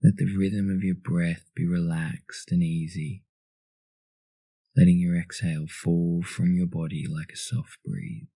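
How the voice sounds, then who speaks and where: A man leading a guided meditation in a calm, soothing, instructional manner. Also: low voice, measured pace, slightly rough, no echo.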